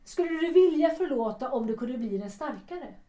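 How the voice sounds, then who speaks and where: A woman's voice: sits high, lively intonation, mellow. An actress playing an earnest, reproachful ex-wife in her thirties in a period radio drama, with formal, theatrical phrasing. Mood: earnest, grave. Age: 30s. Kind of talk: period stage-play radio drama acting. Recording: roomy, echoing interior sound.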